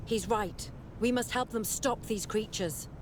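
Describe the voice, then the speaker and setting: A woman's voice: measured pace, lightly acted. A woman playing a cool, rational scholar-warrior in a video game, matter-of-fact and wry. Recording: clear rumbling outdoor noise behind.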